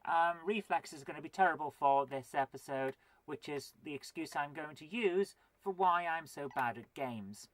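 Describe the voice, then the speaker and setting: A woman's voice: deep voice, moderate pace, few pauses, no room sound. A woman of forty with a cold, hosting a live retro gaming stream, chatting to viewers and muttering to herself while she plays in a chatty, self-deprecating way. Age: 40s. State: has a cold. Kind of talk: live gameplay stream chat.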